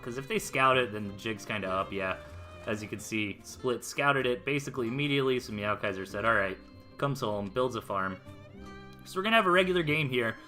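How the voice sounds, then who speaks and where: An American man gives casual live commentary on a game. His voice is very bright and forceful at a medium pitch, and he explains plays in loose, slangy, conversational language. Guitar music plays behind him. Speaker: esports replay caster.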